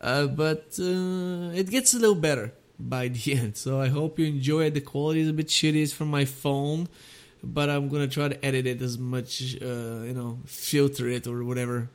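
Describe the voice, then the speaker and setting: Guy rambling thru his podcast intro, lots of uhs, mid pitch, makes fun of himself. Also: fairly even intonation, moderate pace, few pauses. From Bulgaria. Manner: rambling, self-deprecating, full of hesitant fillers. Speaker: podcast host and comedian. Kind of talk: casual podcast monologue.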